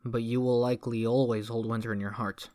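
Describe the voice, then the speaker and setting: A woman's voice: very deep voice, a little fast. A woman voicing a stoic, mysterious fire-born magical wanderer, terse and dry, in a fan comic dub. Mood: dry, weary. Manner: deadpan, terse, cryptic.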